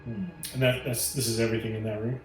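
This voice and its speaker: A man with a fairly low voice talks casually as a tabletop game player. The sound is roomy and echoey, with faint music underneath. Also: level tone, quick pace.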